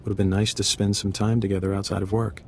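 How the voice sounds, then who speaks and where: Low, easygoing, earnest young-hearted male operative actor. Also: flat delivery, fast, darkish tone, slight background noise, natural voice. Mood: wistful. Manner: informal, earnest, hesitant. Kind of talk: video game voice acting, casual dialogue.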